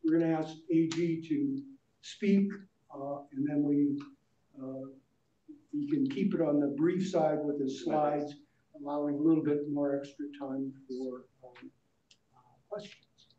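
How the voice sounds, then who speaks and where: A man chairing a meeting, introducing a guest speaker in a halting way with many fillers. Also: fairly high pitch, fairly even intonation, warm tone. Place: large carpeted meeting room. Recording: roomy, distant, dull meeting recording.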